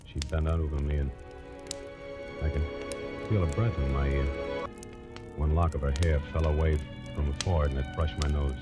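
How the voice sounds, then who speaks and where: An American actor playing a nervous, awkward pollster in a 1940s radio thriller, speaking with halting politeness and confessional unease. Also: male voice, a bass voice, steady tone. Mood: haunted, confessional. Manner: hesitant, halting, stammering politeness. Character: nervous, awkward door-to-door pollster narrating his own lurid confession. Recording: old radio broadcast with loud music.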